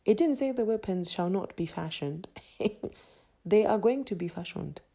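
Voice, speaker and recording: A woman from Zimbabwe hosting a solo motivational podcast. She talks in an emphatic, repetitive, preachy way with a breathy voice. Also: mid-range voice, lively intonation, normal speed, dark tone. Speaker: podcast host.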